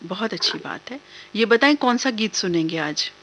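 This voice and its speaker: A woman's voice: medium pitch, lively intonation, moderate pace, fairly bright tone. A woman hosting a radio phone-in, chatty and encouraging, laughing easily with her caller. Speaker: radio show host.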